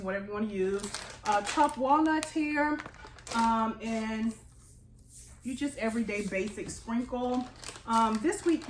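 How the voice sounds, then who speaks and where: An American woman, chatty and informal with a congested nose, hosting a casual baking tutorial for her viewers. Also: a little high. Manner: chatty, informal, addresses viewers as "you guys". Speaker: home baker and cooking channel host. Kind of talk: casual cooking tutorial chat. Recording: echoey room with faint background noise.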